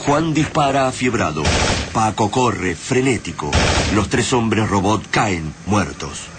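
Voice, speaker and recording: Very deep, solemn male radio narrator. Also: animated, slow pace, very noisy. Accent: Argentine. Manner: solemn, ominous. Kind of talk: dramatic scripted radio narration. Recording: music and effects behind.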